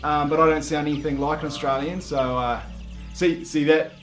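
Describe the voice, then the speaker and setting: Deadpan New Zealand man, fairly high. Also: faint music behind. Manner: halting, full of "um"s, deadpan. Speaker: comedic video host. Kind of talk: deadpan comedy monologue.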